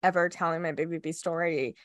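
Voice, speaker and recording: A young woman co-hosting a podcast, chatting casually with a stuffy nose from a cold, nervous and quick to laugh. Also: sits low, steady tone, no echo, clean studio sound. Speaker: podcast co-host. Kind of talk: casual chat.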